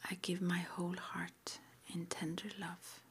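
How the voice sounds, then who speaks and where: A woman performs an ASMR roleplay entirely in a breathy whisper. Her delivery is very slow and gentle, with a lowish pitch and a crackle of creak in it. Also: monotone. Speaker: ASMR roleplay performer playing a witch school interviewer.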